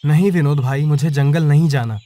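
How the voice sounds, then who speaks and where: A man's voice: on the high side, a little fast, dark tone, no room sound, close to an everyday voice. A man voicing an honest, troubled village woodcutter in a cartoon moral tale. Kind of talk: Hindi cartoon story voice acting.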